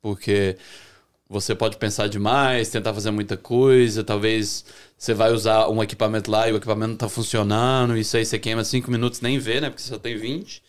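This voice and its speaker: A young Brazilian man with a Brazilian Portuguese accent, a chef chatting as a podcast guest in a relaxed way, often restarting his sentences. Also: lowish voice, brisk, smooth. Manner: relaxed, talks with many restarts and self-corrections. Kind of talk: casual interview chat.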